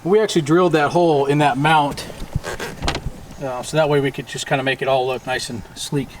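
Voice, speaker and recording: Plain-spoken American man, slightly high-pitched. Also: steady tone, fairly quick. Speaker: DIY boat maintenance video host. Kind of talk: casual hands-on how-to narration. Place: outdoors, on a boat parked by a driveway. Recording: faint outdoor background noise.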